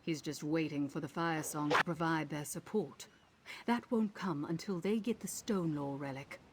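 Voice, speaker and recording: A woman playing a proud, vengeful mercenary pirate captain in a video game, measured and sardonic. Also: low voice, very noisy, lightly acted. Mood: wry, rueful. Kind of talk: video game character acting.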